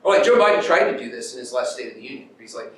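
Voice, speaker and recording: A man's voice: mid-range voice, animated, rapid-fire. A middle-aged man giving an informal university lecture in a conversational way, acting out paraphrased quotes and checking in with his listeners. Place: lecture room at a university, in front of an audience. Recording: very echoey room, distant mic, background noise.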